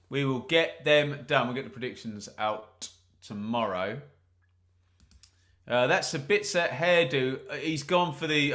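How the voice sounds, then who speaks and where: Bright-voiced man commentating a match live. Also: medium pitch. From England. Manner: chatty, joking, asides to the live chat. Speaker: football watch-along host.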